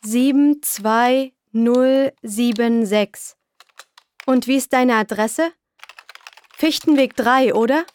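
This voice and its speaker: A woman playing a helpful young friend who fills in a form for a caller, speaking slowly and clearly in a scripted textbook dialogue. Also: fairly high pitch. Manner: slow, clear and patient.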